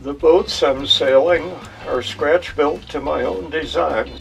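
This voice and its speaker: A man in his sixties with a gravelly voice, hosting an instructional hobby video in an unhurried, folksy storytelling way. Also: on the high side, faint music behind. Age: 60s. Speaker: host of a model sailing channel. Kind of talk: relaxed instructional narration to camera. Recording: distant mic, faint outdoor noise.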